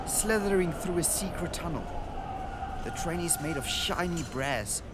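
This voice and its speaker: A man's voice: on the high side, expressive, unhurried. A man playing an earnest, wide-eyed boy traveler in a radio drama, describing things with awe. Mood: wistful wonder. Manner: descriptive, awed storytelling. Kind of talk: radio drama monologue and dialogue. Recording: noisy outdoor and vehicle ambience behind.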